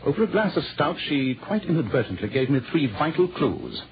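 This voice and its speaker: A noisy, dulled 1940s radio recording carries a commanding English detective. He is brisk and incisive, with clipped, formal diction and a lively, swooping delivery. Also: on the high side, dull audio. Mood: urgent, incisive.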